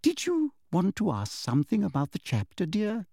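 A prim, patronizing schoolmistress, put on by a man reading a storybook, who talks in a soft, sugary, high voice that rises and falls in a sing-song. Her sweetness never sounds sincere. The recording is dry and close. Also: wildly swooping pitch, moderate pace, dry recording, exaggerated voice. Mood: falsely sweet. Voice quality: sweet, breathy, prissy and patronizing. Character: sugary, condescending female teacher, falsely sweet.

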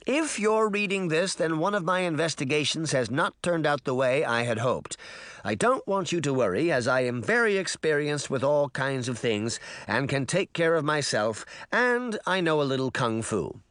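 Old grandmother read by a man, kindly, reassuring, animated intonation, dry sound, children's audiobook. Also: a little high, normal speed, no echo, pitched above the performer's own voice. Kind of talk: children's audiobook letter reading.

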